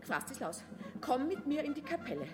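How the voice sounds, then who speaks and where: A woman's voice: high-pitched, sing-song, brisk. An actress playing an overwrought, pious queen and mother in a farcical radio play, melodramatic and wailing. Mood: anxious piety.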